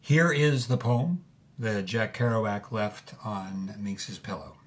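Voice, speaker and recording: Slow, deliberate actor as male narrator. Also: fairly low pitch. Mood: measured. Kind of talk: measured spoken introduction to a poem.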